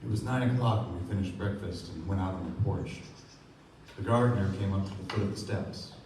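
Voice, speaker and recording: A man's voice: sits low, a little fast, darkish tone, lightly acted. A man playing a reflective, melancholy narrator and loyal friend in a scripted live radio play. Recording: echoey distant stage recording, faint noise.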